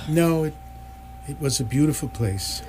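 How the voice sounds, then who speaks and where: An actor in live radio theatre playing a polite, bewildered human adrift in space, hesitant and easily startled. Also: male voice, fairly high pitch, lively intonation, measured pace, darkish tone, slightly rough. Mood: wistful. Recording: noisy live stage recording with hum.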